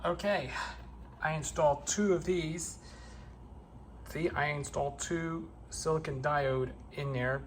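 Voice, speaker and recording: A man with a mid-range voice narrates his own hobby repair as he goes. His delivery is casual and halting, often pausing to work things out aloud. It is a homemade recording with clear noise behind the voice. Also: medium pitch. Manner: halting, hesitant, thinking aloud. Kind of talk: casual unscripted how-to narration while working.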